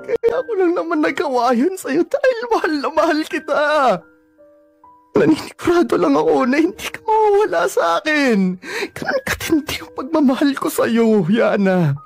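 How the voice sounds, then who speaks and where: A woman's voice: high-pitched, expressive, moderate pace, creaky, a little mellow, faint music behind. An actress playing a possessive, jealous young man, defensive and self-pitying, in a radio drama. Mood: tearful.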